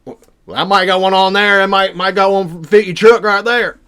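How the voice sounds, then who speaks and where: A man's voice: high voice, steady tone, normal speed, a bit bright, clean tone, pitched above the performer's own voice. A man voicing a very large backwoods man in a story, eager to help, with folksy, ungrammatical, repetitive speech. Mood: hopeful.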